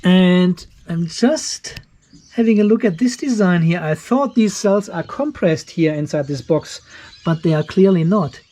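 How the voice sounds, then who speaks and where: High-voiced man musing over gear. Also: a little slow, warm tone. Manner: curious, musing, explaining as he goes. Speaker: channel host reviewing a home battery. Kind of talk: hands-on product inspection, thinking aloud.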